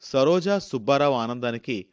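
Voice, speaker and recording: A man's voice: mid-range voice, lively intonation, normal speed, smooth. A man reading a scripted story as its narrator.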